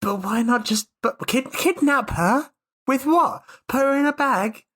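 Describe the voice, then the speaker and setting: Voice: high-pitched, a little slow, fairly bright tone. A tabletop roleplaying player improvising casual in-character chat, plain-spoken and insistent.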